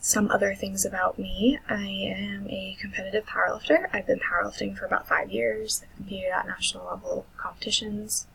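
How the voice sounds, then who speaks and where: An American woman in her twenties with a breathy, husky voice, casually introducing herself as a student. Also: medium pitch, little pitch movement, a little fast, few pauses, fairly bright tone, rough recording. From United States. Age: 20s.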